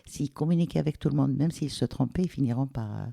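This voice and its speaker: A middle-aged woman from Algeria, speaking reflectively and pausing to search for words in a casual interview. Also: very deep voice, creaky, dark tone, no echo, studio quality. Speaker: interviewee, the host's mother.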